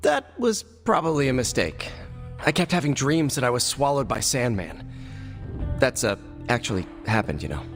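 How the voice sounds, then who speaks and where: A man's voice: mid-range voice, sing-song, moderate pace, soft background music. An actor voicing a young, wisecracking, awkward American male superhero in a video game, joking in a hesitant, rambling way. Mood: uneasy, wry.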